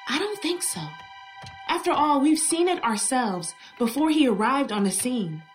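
A woman's voice: high voice, expressive, moderate pace, faint music behind. A woman playing a troubled, haunted woman in an old time radio drama, hesitant and dreamy. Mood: uneasy.

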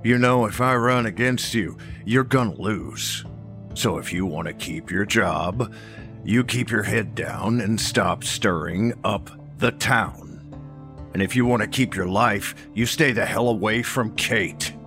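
An old small-town sheriff in a horror audio drama. He speaks slowly in a lowish voice, folksy and matter-of-fact. The menace is quiet and stated plainly, with faint music underneath. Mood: cold threat.

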